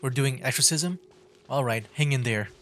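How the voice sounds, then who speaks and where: A man's voice: medium pitch, moderate pace, a bit bright, slight background noise. A man giving casual livestream commentary on a game, with wry, easygoing asides. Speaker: streamer, gamer.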